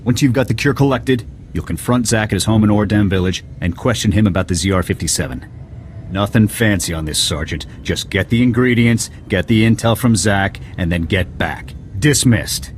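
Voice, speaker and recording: Actor as a very deep, stern commander. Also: male voice, a little hiss or hum, lightly acted. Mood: stern, businesslike. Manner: brisk, clipped, authoritative. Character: stern, no-nonsense male military commander. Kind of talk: video game dialogue acting.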